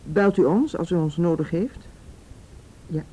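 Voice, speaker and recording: An actress playing a calm, businesslike policewoman in a radio drama, courteous and composed, with a Netherlands Dutch accent. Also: measured pace, close to an everyday voice. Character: calm, businesslike policewoman making phone calls. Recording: noisy radio drama recording, low rumble.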